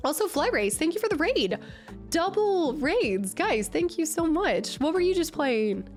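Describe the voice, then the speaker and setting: Nasal, raspy woman streamer. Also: sits high, measured pace, faint music behind. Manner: bubbly, excitable, greets chat often. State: recovering from a cold. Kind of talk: casual live-stream chat.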